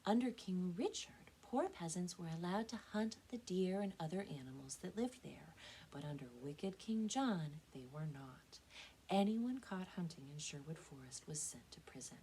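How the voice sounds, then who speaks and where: A young American woman, a children's librarian telling stories with expressive, dramatic emphasis, recorded indoors on a camera mic. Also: low-pitched, slight background noise, low-quality recording. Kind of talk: animated storytelling for children.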